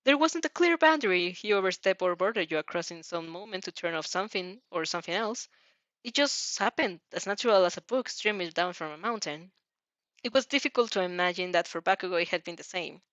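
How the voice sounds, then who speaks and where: A woman reading a story aloud as narrator, dramatic and a little halting, stumbling over some words. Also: lowish voice, expressive, fairly bright tone, no room sound. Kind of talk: expressive fan fiction read-aloud.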